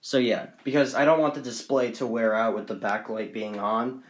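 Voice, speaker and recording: A man with a medium-pitched voice gives an informal show-and-tell of his collection. He rambles and repeats himself as he goes, keen on the small details. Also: fairly even intonation, moderate pace. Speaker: hobbyist collector presenting radios on video. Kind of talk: casual unscripted show-and-tell vlog.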